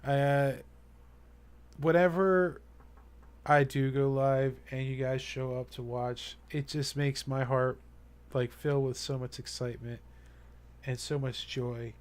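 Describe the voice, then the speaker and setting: A man's voice: medium pitch, level tone, slow pace, clean tone. A young man chatting casually to his live-stream viewers, rambling and earnest, with many fillers and restarts. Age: young adult.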